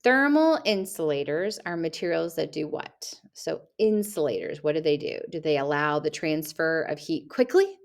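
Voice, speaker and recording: Woman teacher, lowish voice, patient read-aloud walk through a class test, recorded at her computer. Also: big pitch swings, moderate pace, gravelly, hardly any pauses. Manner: patient, asks questions back to the listener. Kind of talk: reading a test aloud to students.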